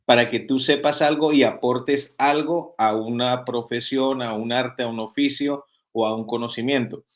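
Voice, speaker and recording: A man's voice: mid-range voice, fairly even intonation, moderate pace. A man, a pastor and author, guesting on a video call interview and speaking in an earnest teaching tone full of rhetorical questions. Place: home in Spain.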